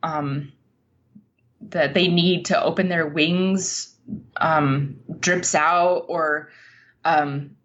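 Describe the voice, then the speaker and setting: Female interview guest, slightly low voice, unhurried and earnest, reflective storyteller, dull lo-fi sound. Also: steady tone, a bit bright. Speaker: podcast guest, writer.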